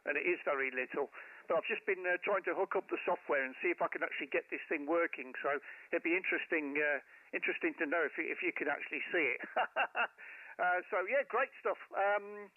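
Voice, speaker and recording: Male radio operator, slightly high voice, enthusiastic, hesitant phrasing, casual chat over thin narrow-band audio. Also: dry recording. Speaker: amateur radio operator, live streamer. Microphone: headset microphone.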